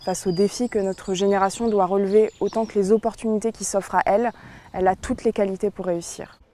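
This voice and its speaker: Young woman law student, earnest, warm mellow voice, slight hiss behind. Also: medium pitch, fairly even intonation, quick pace. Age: 20s. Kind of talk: campaign testimonial.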